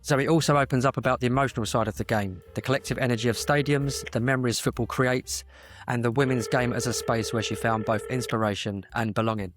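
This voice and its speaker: A man's voice: medium pitch, steady tone, quick pace, faint music behind. A man hosting a podcast, speaking as a conversational interviewer. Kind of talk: podcast intro and casual interview chat.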